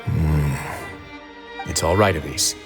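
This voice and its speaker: An actor playing a calm, dry-witted duke in a video game, measured and commanding. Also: male voice, a bass voice. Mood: reassuring, resolute. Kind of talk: scripted video game voice acting. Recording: long reverb, faint music behind.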